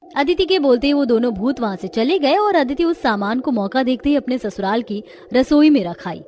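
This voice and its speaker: High-voiced woman narrating a cartoon tale. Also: lively intonation, moderate pace, darkish tone, soft background music. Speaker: story narrator. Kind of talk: scripted dramatic cartoon narration.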